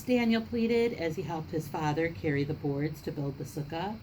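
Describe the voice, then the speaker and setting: A woman reads a children's picture book aloud in a somewhat low voice. Her delivery is expressive and informal, with the odd stumble. There is faint room noise in the recording. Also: rough recording. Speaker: storybook reader for children.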